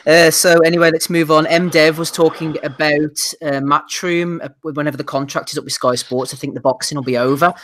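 A man with a slightly higher voice hosts a casual podcast chat, moving the talk along and dropping teasing asides. His voice is recorded dry and close. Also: steady tone, fairly quick, hardly any pauses, a bit bright, dry recording. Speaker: podcast host.

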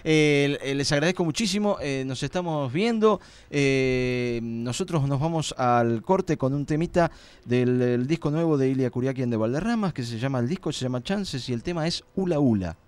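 A man's voice: medium pitch, normal speed, a little mellow, smooth, no echo. A man with an Argentine accent hosting a live radio show in a casual, rambling, colloquial chat.

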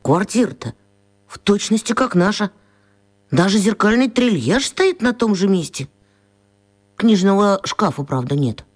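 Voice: medium pitch, sing-song, unhurried, long pauses. A performer in a radio play voicing a puzzled, mischievous schoolboy who grumbles and thinks aloud to himself expressively. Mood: curious. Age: child.

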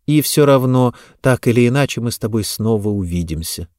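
A man's voice: mid-range voice, animated, fairly quick, darkish tone, dry recording, lightly acted. A sheltered, dreamy young woman in an audiobook romance, voiced by a male narrator. She is earnest and coaxing, her phrases swinging up and down with feeling, recorded close and dry. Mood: affectionate.

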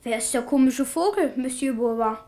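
A woman's voice: high-pitched, moderate pace, mellow, natural voice. A performer, heard as female, playing a bold, cheeky, forthright young prince in a German radio drama. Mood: cheeky, defiant.

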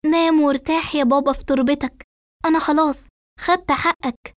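A woman's voice: high voice, fairly even intonation, brisk, no room sound, clean studio sound, pitched above the performer's own voice, close to an everyday voice. A woman voicing a fragile but defiant daughter in an audio story, emotional and insistent, with an Egyptian accent. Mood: devoted. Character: defiant, fragile daughter devoted to dead father.

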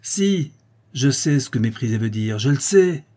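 A man's voice: medium pitch, brisk, warm tone, husky, close to an everyday voice. A man voicing a proud, stubborn little aristocratic boy in a storybook, with a haughty, vehement delivery. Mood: enraged, defiant.

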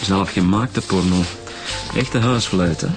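Give me a low-voiced Flemish investigator. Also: male voice, slow, music in the background. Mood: wry, pleased. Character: wry, matter-of-fact male police investigator. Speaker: actor playing a police detective. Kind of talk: radio drama dialogue.

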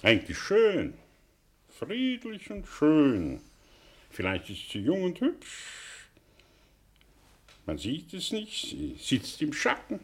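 Slow, broken, sardonic professor. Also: male voice, fairly high pitch, huge pitch swings, slightly rough, full character voice. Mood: dreamy musing. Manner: declamatory, musing, slow with long pauses. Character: broken, melancholy, sardonic professor in exile, given to reverie. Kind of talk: theatrical German radio drama monologue.

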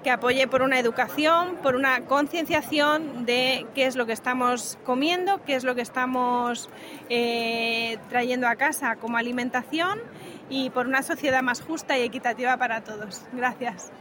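A young woman with a high voice explains her organisation's work in an articulate interview answer. She is recorded at a fair stand with a busy crowd around her. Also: a little fast. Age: young adult. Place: stand in an indoor fair hall. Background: crowd murmur.

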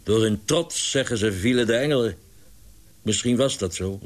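A male narrator puts on a big, innocent storybook pigeon fancier. His voice lifts well above a narrator's pitch as he exclaims, coaxes and pleads. He is doting and plaintive, quick to stammer with indignation. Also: close to an everyday voice. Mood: wounded pride. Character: tall boisterous Irish pigeon owner, innocent, plaintive and doting.

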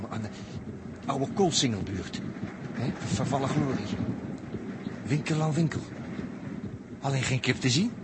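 An actor playing a wry, jittery captive astronaut in a 1960s radio play, hesitant and colloquial with stammered repetitions. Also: male voice, medium pitch, natural voice. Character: wry, jittery male astronaut taken prisoner. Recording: noisy old radio recording.

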